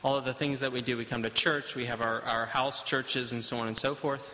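A man interpreting a sermon live, halting often with fillers as he searches for words. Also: mid-range voice, fairly even intonation, pushed and bright, in a large hall. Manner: hesitant, halting, many fillers.

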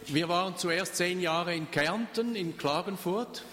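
An older man from Switzerland, preaching in short phrases and pausing for an interpreter. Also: sits high, a bit bright, long reverb tail. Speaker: pastor. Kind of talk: sermon with consecutive interpreter.

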